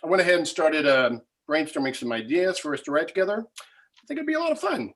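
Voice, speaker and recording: A man's voice: on the high side, sing-song, fast, a bit bright. A man playing a famous screenwriter in a comedy table read, falsely friendly and vindictive. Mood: mock-friendly, vindictive. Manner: mock-friendly, spiteful.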